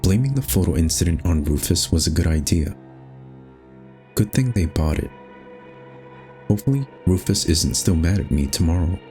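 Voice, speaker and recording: A man's voice: deep voice, animated, normal speed, long pauses, warm tone, soft background music. A man reading scripted, storybook-style narration for a horror game.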